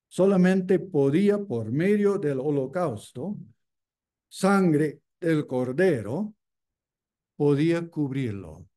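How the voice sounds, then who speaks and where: A male preacher, a native English speaker, delivers a sermon with measured, unhurried phrasing. His voice sits a little high for a man but sounds mellow and soft-edged. He repeats key phrases as he builds each point.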